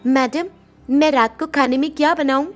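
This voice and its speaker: Female narrator as young servant girl, high voice, meek, pleading, gentle, moral story character, faint music. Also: fairly even intonation, normal speed, lightly acted. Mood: meek, caring. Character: gentle, dutiful poor young servant girl, mistreated stepdaughter.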